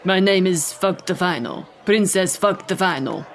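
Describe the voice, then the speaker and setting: Man voicing a cold imperious princess, Russian accent, clipped, high-pitched, storybook reading. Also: lively intonation, a bit bright. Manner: clipped, commanding, slow and haughty.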